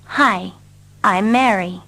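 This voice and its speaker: A woman repeating short English dialogue lines slowly and carefully for learners. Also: medium pitch, creaky, pushed and bright. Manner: carefully repeats each line. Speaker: English teacher. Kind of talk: slow scripted reading for learners.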